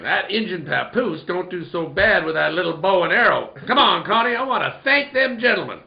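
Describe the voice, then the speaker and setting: Man playing gravelly, cantankerous old rancher. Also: high voice, lively intonation, a little fast. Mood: excited. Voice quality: gravelly, creaky. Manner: grumbling, rustic cowboy talk. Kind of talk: old-time radio western acting. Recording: roomy, dull, noisy recording.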